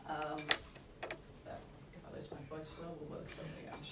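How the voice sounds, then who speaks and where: A croaky-voiced woman lecturer walks a class through course material, measured and explanatory. She is picked up from well back in a roomy, echoing hall. A steady hiss of noise sits under her voice. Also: deep voice. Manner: measured, explanatory, frequent "okay" and "um" checks. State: croaky, recovering from lost voice. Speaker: university lecturer. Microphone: room recording from far off. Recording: echoey hall, distant mic, noisy.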